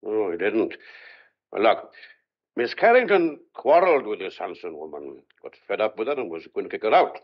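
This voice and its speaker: An actor playing a gruff but kindly, exasperated police sergeant in a thriller audio drama, with a blunt, coaxing, colloquial way of talking. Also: male voice, lowish voice, very animated, normal speed, muffled recording. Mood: gossipy, explanatory. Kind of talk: old-fashioned thriller audio drama acting.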